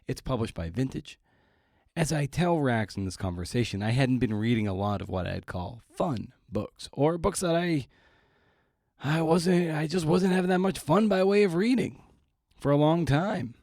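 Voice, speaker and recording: A man's voice: fairly high pitch, expressive, normal speed, darkish tone, no echo, clean studio sound. A man hosting a podcast solo, talking casually with wry, playful, slangy, self-deprecating asides. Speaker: podcast host. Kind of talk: casual podcast monologue with announcements.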